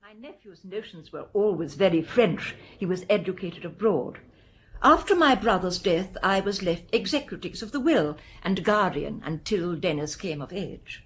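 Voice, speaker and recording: An actress playing a starchy, disapproving older spinster with a British accent, giving clipped testimony in a radio drama. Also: female voice, lowish voice, lively intonation, moderate pace, close to an everyday voice. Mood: prim, disapproving.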